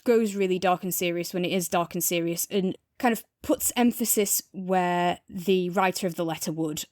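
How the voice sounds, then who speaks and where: A woman's voice: on the low side, normal speed, darkish tone, no room sound, clean studio sound. A woman co-hosting a podcast, chatting casually and enthusiastically as she gives a review. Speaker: podcast co-host reviewing audio drama.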